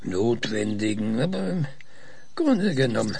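An actor in a radio drama playing a proud, opinionated old scientist who speaks pompously and searches for words. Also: male voice, lowish voice, big pitch swings, unhurried, creaky, very noisy, exaggerated voice. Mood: halting, condescending. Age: older.

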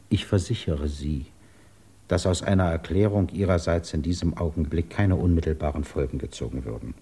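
A man playing a measured, courteous British government investigator in a 1950s German radio drama, formal, deliberate and patiently probing. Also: low-pitched, warm tone, slightly rough.

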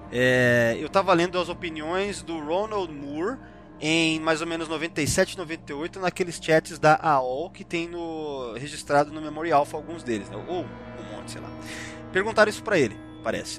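A man's voice: fairly high pitch, normal speed, fairly bright tone, faint music behind. A Brazilian man hosting a casual panel discussion, rambling and slangy as he often interrupts himself. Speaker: podcast host.

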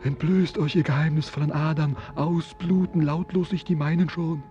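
An actor playing a melancholy, brooding sailor who declaims in a solemn, poetic, visionary way in a German radio drama. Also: male voice, a little high, fairly even intonation, moderate pace, mellow, dull audio, soft background music, lightly acted. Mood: fervent, visionary. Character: melancholy, brooding male sailor, estranged from life, longing for earth.

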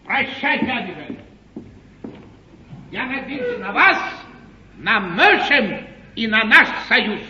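An actor playing a witty, combative gentleman politician in a stage comedy, theatrical and declamatory with obvious relish. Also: very high voice, very animated, slow, long pauses, exaggerated voice. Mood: exuberant, combative. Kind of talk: classical stage comedy acting. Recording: noisy, muffled, echoey 1950s theatre broadcast.

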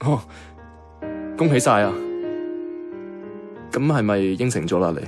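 Soft-hearted young Hong Kong man played by an actor, music behind. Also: lowish voice, expressive, a little slow. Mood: gentle, hesitant. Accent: Hong Kong Cantonese. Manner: casual, colloquial. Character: soft-hearted, self-effacing young male bank worker, secretly devoted. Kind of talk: Cantonese radio drama, narration and dialogue.

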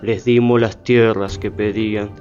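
Actor as solemn, even-toned native storyteller. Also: male voice, on the low side, a little slow, lightly acted. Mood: grave, regretful. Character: solemn, wronged Delaware native man remembering the settlers. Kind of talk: radio theatre monologue. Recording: slightly muffled, faint music behind.

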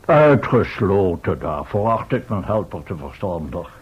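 A man's voice: low-pitched, very animated, unhurried, slightly rough, muffled recording, exaggerated voice. A man acting an exasperated, schoolmasterly professor who leads an expedition in an old radio drama. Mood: stern, exasperated. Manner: indignant, schoolmasterly. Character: exasperated male professor leading a time-travel expedition.

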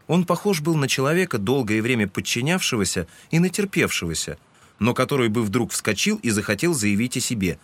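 A male narrator with a mid-pitched voice. He delivers scripted literary prose for an audiobook drama. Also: big pitch swings, brisk.

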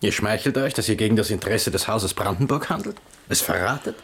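An actor playing a shrewd, mocking imperial envoy in a radio play, with a dry, needling manner. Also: male voice, fairly low pitch, lively intonation, fast, a bit bright, slightly rough.

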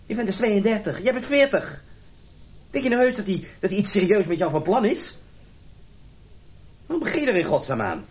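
Jealous middle-aged husband, swinging pitch. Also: male voice, sing-song, normal speed, long pauses. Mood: angry, incredulous. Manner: agitated, stammering when upset. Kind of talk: radio drama acting.

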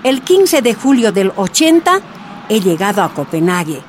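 A woman playing a lively exiled activist. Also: mid-range voice, moderate pace, faint music behind, close to an everyday voice. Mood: recounting.

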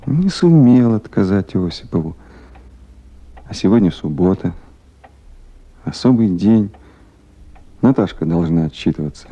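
An actor playing a tactful, deferential duty officer in a radio drama, speaking in a restrained, musing way. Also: male voice, sits low, expressive, a little slow, long pauses, warm tone, highs cut off. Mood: musing, subdued. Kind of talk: 1970s Soviet radio drama acting.

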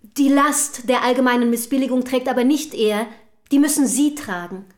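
An actress in a German historical radio drama playing an earnest, outspoken early feminist from the city, speaking formally and rhetorically. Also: female voice, high voice, moderate pace, close to an everyday voice. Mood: fervent, admiring.

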